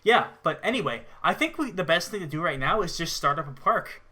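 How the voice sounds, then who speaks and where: A man's voice: fairly high pitch, lively intonation, a little fast, pushed and bright. A man in his early twenties co-hosting a gaming show, chatting informally and enthusiastically as he plays. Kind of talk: casual gameplay chat.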